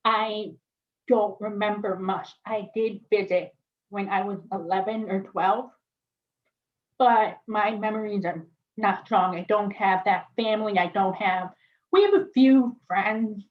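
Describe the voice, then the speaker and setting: Woman biologist sharing her story on a panel, thoughtful and easygoing, medium pitch, kinda dull lo-fi call audio. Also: fairly even intonation, measured pace. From born in South Korea, raised near Chicago. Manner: thoughtful, reflective, light laughs. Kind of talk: personal storytelling in a panel conversation.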